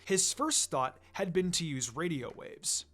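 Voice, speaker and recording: A man's voice: on the high side, very animated, unhurried, a bit bright. A man reading scripted fan fiction aloud as a story narrator. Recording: music behind the voice.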